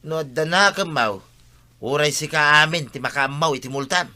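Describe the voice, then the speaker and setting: A man's voice: a little high, moderate pace, bright, forceful tone. An actor with an Ilocano accent playing a male villager in a radio comedy drama. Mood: insistent.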